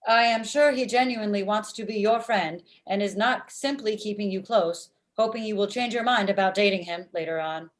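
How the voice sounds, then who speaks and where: A woman's voice: mid-range voice, moderate pace, few pauses, close to an everyday voice, poor audio. Woman playing stilted, earnest female robot. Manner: stilted formal phrasing, spoken-out laughs. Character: earnest, literal-minded female robot with blunt jokes. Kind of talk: comedy table read. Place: small room at home, video call. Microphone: video call computer microphone.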